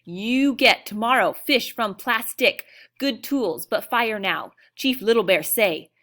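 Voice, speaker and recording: A woman's voice: a little high, lively intonation, slow pace, fairly bright tone, full character voice. A woman voicing a small proud stubborn male chief who speaks in clipped, demanding broken phrases.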